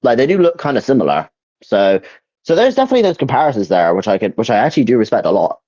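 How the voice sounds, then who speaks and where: Young male gaming host, lively swinging intonation, halting casual delivery, slightly muffled lo-fi recording. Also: mid-range voice. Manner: hesitant, searching for words, repeats himself. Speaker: video game ranking host. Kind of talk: casual opinion chat about video games. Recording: dull, lo-fi mic.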